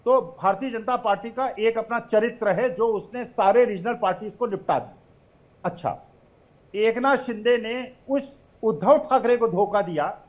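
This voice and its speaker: A man in his seventies making slow, emphatic accusations as a political panelist in a TV debate. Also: high-pitched, dull audio. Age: 70s.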